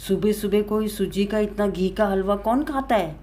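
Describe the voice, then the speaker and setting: A woman's voice: mid-range voice, normal speed, mellow, natural voice. A woman voicing a stern older mother-in-law in a dramatised story, nagging with accusing, scornful questions. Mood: angry, scornful.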